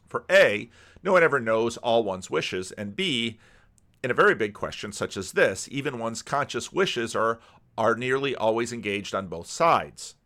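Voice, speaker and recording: Low-pitched bookish man commenting as he reads. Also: a bit bright. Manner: bookish, digressive, stopping to comment on what is read. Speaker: video commentary host. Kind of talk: casual commentary mixed with reading a letter aloud.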